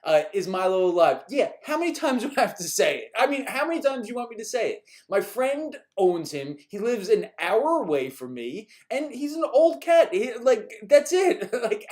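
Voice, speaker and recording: Chuckling slightly high-voiced man from New York. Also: very animated, brisk, few pauses, a little mellow. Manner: rambling, chuckling, mildly exasperated at repeat questions. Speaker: animation creator answering viewer questions. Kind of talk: casual live-stream Q&A.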